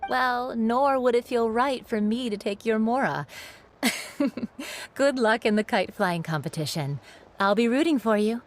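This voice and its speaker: A woman's voice: on the high side, a little slow, soft background music, close to an everyday voice. Woman playing a gracious, friendly game shopkeeper. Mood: grateful, welcoming. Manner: polite, gracious. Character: friendly, grateful, generous shopkeeper mother. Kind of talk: video game voice acting.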